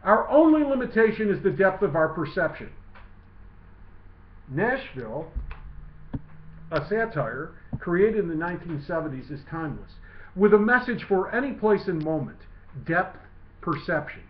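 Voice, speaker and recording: A man reading his own literary essay aloud in a measured, declamatory way. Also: high voice, very animated, slight background noise. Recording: muffled lo-fi, roomy echo.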